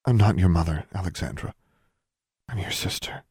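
A man's voice: low-pitched, moderate pace, a little mellow, slightly rough, no room sound, clean studio sound. A man voicing a distressed, protective mother in a story, agitated and reproachful. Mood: remorseful, pleading.

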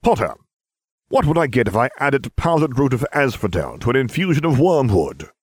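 A man's voice: medium pitch, very animated, normal speed, slightly rough, no room sound, pitched above the performer's own voice. A man voicing a cold, sneering male potions professor who talks in clipped, disdainful lines.

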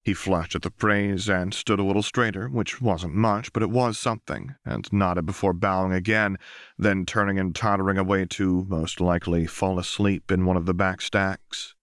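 A man's voice: sits low, steady tone, moderate pace, a bit bright, dry recording, clean studio sound. A man reading a fantasy story as an audiobook narrator, in scripted narration.

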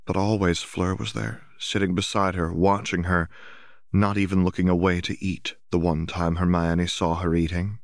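A man with a low-pitched voice narrates a novel for an audiobook. His reading is scripted and literary. The recording is dry, with very little room sound. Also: flat delivery, moderate pace, no echo.

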